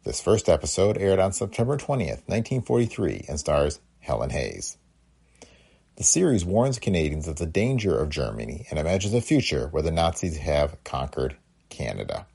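A man's voice: rumbling low, big pitch swings, normal speed, creaky, warm tone, slightly rough. A man hosting a podcast, reading a scripted introduction. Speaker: podcast host.

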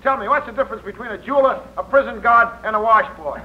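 An American man playing a cocky, needling wisecracker in 1940s radio comedy, brisk and taunting. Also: very high-pitched. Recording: muffled, noisy old radio broadcast.

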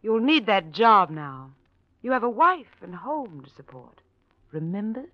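A woman acting a cool, calculating wife in an old-time radio drama, with theatrical, biting sarcasm and a bright, sharp voice. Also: medium pitch, sing-song, a little slow, noisy background. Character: cool, calculating, mocking, money-minded wife. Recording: dull, lo-fi old radio recording.

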